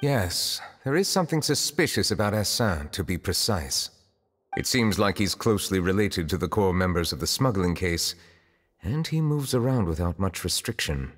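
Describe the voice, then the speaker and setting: A man playing a formal, reserved official of high rank in a video game, speaking in a measured, stately way. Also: lively intonation, in a large hall. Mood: pensive.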